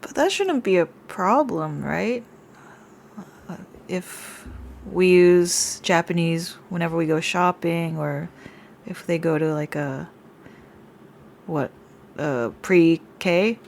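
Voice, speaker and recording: An American woman in young adulthood speaks in a somewhat low voice, keeping her replies short and low-key. A faint background hiss sits under the casual podcast chat. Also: slow, vocal fry, long pauses, darkish tone. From United States. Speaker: podcast co-host. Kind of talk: casual conversation.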